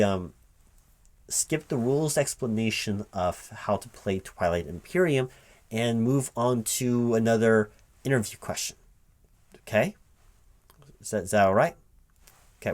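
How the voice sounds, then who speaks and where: Low-voiced man in a halting interview roleplay. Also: darkish tone. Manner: halting, repeats words, baffled and exasperated. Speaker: job interviewer, recruiting manager in a roleplay. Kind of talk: one-sided spoken ASMR interview roleplay. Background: computer keyboard typing.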